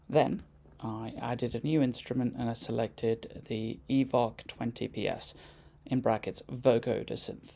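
A man's voice: medium pitch, gravelly, mellow, slight background noise. A man presenting a music production tutorial in a casual, step-by-step way. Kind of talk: casual screen-recorded software tutorial.

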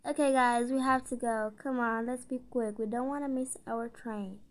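A woman's voice: on the high side, fairly even intonation, moderate pace, natural voice. A woman playing a firm, weary parent hurrying children along in a family roleplay. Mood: urgent.

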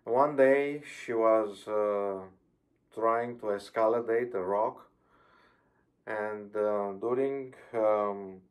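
Slow-speaking Romanian-accented pastor. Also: male voice, fairly low pitch. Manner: careful, hesitant with frequent ums. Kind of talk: sermon. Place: plain indoor room. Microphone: webcam on video call.

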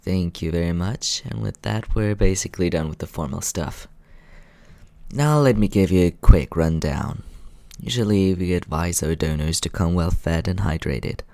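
A man playing a courteous, teasing vampire in an ASMR roleplay, soft-spoken, calm and unhurried, close to the microphone. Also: no room sound. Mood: calm, businesslike. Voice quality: low, creaky.

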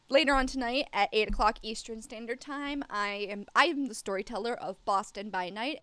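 A woman's voice: fairly high pitch, fairly bright tone, no echo. A woman chatting casually on a livestream as a guest storyteller, with a wry, self-deprecating way of joking. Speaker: guest storyteller and game master.

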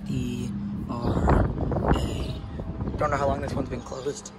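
A teenage boy filming a hurried, chatty walk-around vlog of a closed restaurant, apologizing for keeping it short, on a phone held at arm's length outdoors. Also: mid-range voice, flat delivery. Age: teens. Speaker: vlogger. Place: outdoors around an empty fast-food building by a busy road. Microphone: phone camera held at arm's length. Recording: loud traffic noise outdoors.

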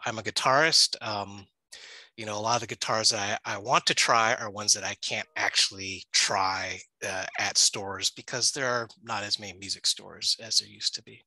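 A man's voice: on the low side, sharp tone, dry recording, low-quality recording. A man in his thirties giving a casual slide presentation on a video call through a headset, chatty with many fillers and restarts. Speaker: library staff presenter.

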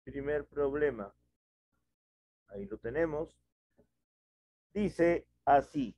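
A man's voice: on the high side, lively intonation, slow, darkish tone, a little hiss or hum. A middle-aged male math teacher, patiently walking students through a problem at a whiteboard in a small home room, wearing a headset. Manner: patient, explains step by step with questions. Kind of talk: online class at a whiteboard. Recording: dull lo-fi video call audio.

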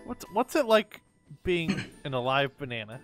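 A man's voice: on the high side, sing-song, moderate pace, soft background music. A man chatting in an improvised game roleplay in a joking, mock-earnest way.

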